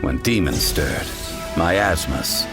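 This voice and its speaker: A man playing a solemn storybook narrator of ancient legends, grave, measured and dramatic, in a video game. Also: a bass voice, lively intonation, gravelly, over loud music, exaggerated voice. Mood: solemn, ominous.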